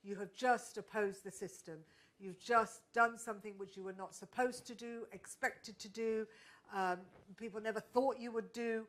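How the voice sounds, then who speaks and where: A woman's voice: on the high side, fairly even intonation, normal speed, fairly bright tone. Woman, stand-up comic, live panel guest, candid, reflective, restarts often. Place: stage at a live podcast event.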